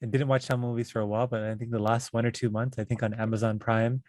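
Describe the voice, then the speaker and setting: Relaxed woman host, quick questions, dry close sound. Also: a bass voice, no echo. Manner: relaxed, asks quick-fire questions, laughs along. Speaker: podcast host. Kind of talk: casual interview chat.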